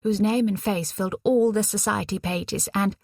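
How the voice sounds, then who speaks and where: A woman's voice: medium pitch, fairly even intonation, creaky, darkish tone, no room sound, studio quality, voice pushed up. A woman doing a bubbly, teasing young wartime nurse. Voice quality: brisk, teasing, exuberant.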